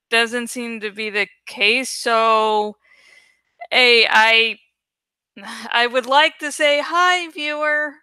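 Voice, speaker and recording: Slow, bright-voiced woman reading aloud. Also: a little high, smooth, no echo. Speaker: host reading a novel aloud on a livestream. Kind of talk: dramatic audiobook-style reading with casual asides.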